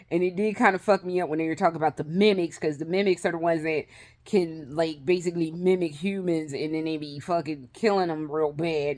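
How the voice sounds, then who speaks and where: A woman vlogging in a casual, rambling way, swearing freely, laughing often and restarting her sentences. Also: mid-range voice. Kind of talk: casual rambling vlog chat.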